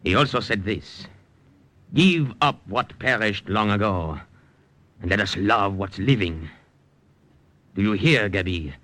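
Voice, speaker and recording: An actor playing a tender, steady secret agent in an old radio drama, speaking gently and earnestly. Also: male voice, fairly low pitch, slow, long pauses, no room sound. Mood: consoling. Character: tender, steady male fellow agent consoling her. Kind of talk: 1940s-50s radio drama acting. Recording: faintly noisy old radio recording.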